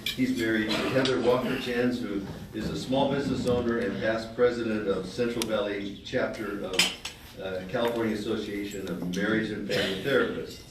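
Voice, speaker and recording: A man with a fairly low voice reads a speaker's introduction at a club meeting and stumbles over a few words. He is heard from far off in a very echoey hall, with clear background noise and the clink of dishes and cutlery. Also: fairly even intonation, normal speed. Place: dining hall during a meal.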